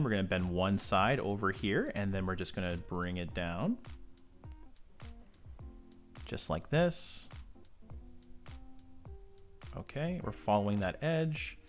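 Stuffed-up man chatting through a build. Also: fairly low pitch. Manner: chatty, rambling asides while working. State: stuffed up from a cold. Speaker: model-building channel host. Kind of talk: casual build tutorial commentary. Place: indoor tabletop workbench.

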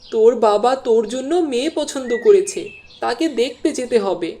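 A woman's voice: fairly high pitch, normal speed, dark tone, close to an everyday voice. A woman voicing a doting, fussing middle-aged village mother in a cartoon, with a coaxing manner. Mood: fond. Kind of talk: cartoon dialogue acting. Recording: clear background noise.

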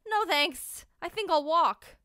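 A woman's voice: extremely high pitch, lively intonation, slow, bright, forceful tone, no room sound, clean studio sound, pitched above the performer's own voice, exaggerated voice. A woman playing a hopeful, determined young male tortoise in a storybook, earnest and upbeat.

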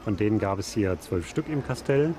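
A man explains things factually as an expert guide in an on-location interview. His voice is medium in pitch, with clear echo around it and faint noise behind. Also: lively intonation, brisk. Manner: factual, explanatory, spontaneous phrasing. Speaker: archaeology expert and site guide. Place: on location at a museum site. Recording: echoey on-site sound with background noise.

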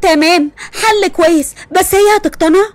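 A woman's voice: very high-pitched, normal speed, dry recording. A woman voicing an irritable, sarcastic tomboyish young woman with an Egyptian accent. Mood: exasperated. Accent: Egyptian Arabic. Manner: exasperated, sarcastic.

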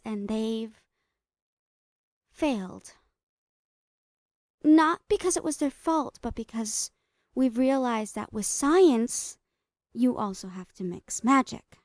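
A psychotic lady doctor played by a woman in a horror ASMR roleplay, with a fairly high voice that slips into breathy whispers. She drags out her words very slowly, sweetly soothing and giggly with menace underneath. The sound is close and very dry. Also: a little mellow, no echo. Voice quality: breathy, often dropping into whispers. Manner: soothing, giggly, drawn-out words, falsely reassuring. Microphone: close microphone, dry.

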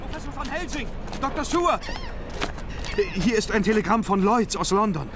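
An actor playing an anxious, considerate gentleman among vampire hunters in an audio drama. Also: sits high, sing-song, normal speed, noisy background. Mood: excited. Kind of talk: German audio drama acting. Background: music.

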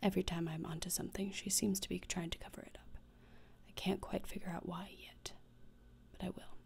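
A young woman acting as a gothic teenage schoolgirl whispers with a gravelly creak, close to the mic. She is gloomy and morbid, delivering every phrase with deadpan, deliberate disdain in an ASMR roleplay. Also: fairly low pitch. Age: young adult. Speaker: ASMR creator. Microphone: close desktop microphone, seen.

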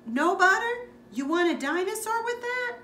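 A woman voicing a pushy popcorn man calling out loudly in a storybook. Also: extremely high pitch, expressive, moderate pace, pitched above the performer's own voice, exaggerated voice. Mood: hawking. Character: shouting popcorn vendor man, pushy and cheery. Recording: echoey room with background noise.

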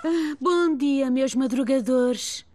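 Fairly high, kind cartoon mum. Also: female voice, slow pace, very noisy. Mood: sleepy, kind. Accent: European Portuguese. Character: kind, patient young mother of small children. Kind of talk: children's cartoon dubbing.

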